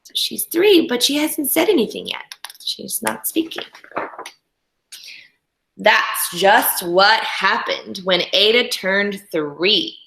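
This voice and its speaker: A woman reading a storybook aloud to young children in an expressive, teacherly way, with instructive asides to her listeners. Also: medium pitch, slow pace, creaky, slight background noise. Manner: animated, instructional, talks directly to young listeners. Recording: echoey room.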